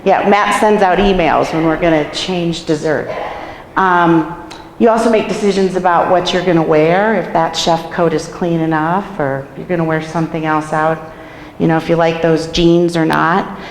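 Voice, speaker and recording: A hoarse woman with a cold, a nursing home administrator, giving a chatty staff training and asking the room questions. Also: lowish voice, fairly even intonation, moderate pace, a little hiss or hum. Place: meeting room in a care home. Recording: echoey room with long reverb.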